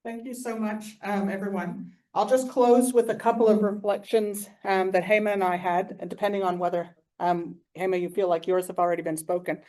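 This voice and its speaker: A woman's voice: mid-range voice, flat delivery, fairly quick, darkish tone. A woman facilitating a conference session, speaking informally and appreciatively as she offers closing reflections. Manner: appreciative, encouraging. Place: conference hall, live talk.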